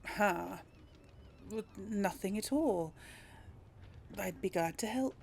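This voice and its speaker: A woman's voice: mid-range voice, slow pace, creaky, a little mellow, a little hiss or hum. A woman doing casual game commentary and role-playing dialogue choices, musing aloud with little hums. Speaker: gamer narrating a role play series.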